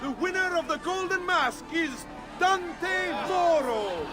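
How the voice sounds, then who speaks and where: An actor playing a theatrical Venetian festival announcer, proclaiming grandly with an Italian accent. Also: male voice, very high-pitched, expressive, slow, exaggerated voice. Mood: grandly festive. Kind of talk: loud ceremonial public announcement. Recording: music and crowd behind.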